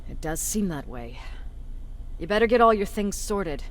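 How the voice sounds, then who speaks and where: A woman's voice: medium pitch, lively intonation, moderate pace, natural voice. A woman acting a video game role as a tough, blunt resistance commander with an authoritative manner. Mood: grim, commanding. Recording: faint background noise.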